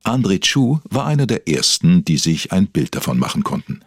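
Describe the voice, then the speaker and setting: A man playing a solemn documentary narrator, delivering scripted lines in a weighty, dramatic way with a husky voice. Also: low voice, big pitch swings, moderate pace, no echo, studio quality, exaggerated voice. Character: male documentary narrator, solemn, mock-investigative.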